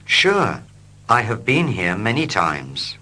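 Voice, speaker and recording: Slow, lively, bright-voiced male English teacher. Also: fairly low pitch. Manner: slow, clear and expressive. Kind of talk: slow scripted reading for learners.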